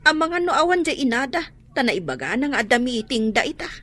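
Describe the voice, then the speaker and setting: A woman's voice: sits high, very animated, fairly quick, a bit bright, heavily acted. A woman with an Ilocano accent playing a chatty, friendly village neighbour in a radio drama. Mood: friendly, curious.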